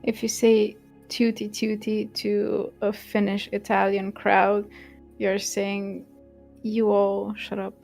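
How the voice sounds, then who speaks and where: A woman's voice: mid-range voice, completely flat delivery, slow pace, gravelly, a little mellow, faint music behind. A woman whose first language is Romanian, chatting casually on a stream and teasing her co-host with frequent laughter. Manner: giggly, teasing.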